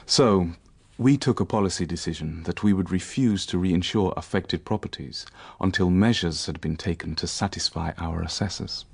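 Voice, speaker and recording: An actor playing an assured, businesslike professional man in a radio drama, speaking in a measured, matter-of-fact way. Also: low-pitched, gravelly, breathy edge, exaggerated voice.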